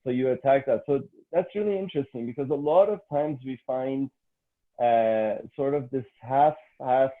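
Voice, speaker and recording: Canadian host over a tinny line. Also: male voice, mid-range voice. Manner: summarizing and framing questions, repeated starts. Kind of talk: online interview.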